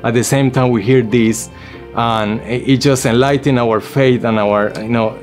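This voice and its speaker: A man's voice: mid-range voice, steady tone, measured pace. A man, around his thirties or forties, sharing a personal testimony in an informal storytelling way. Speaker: church member giving testimony. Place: indoor photo studio, seated before a backdrop. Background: background music.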